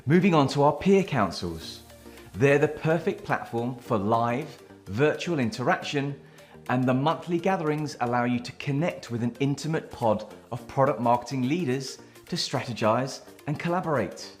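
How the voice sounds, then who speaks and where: A man's voice: medium pitch, expressive, moderate pace, faint music behind. A man reading a scripted membership promotion. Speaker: promo announcer.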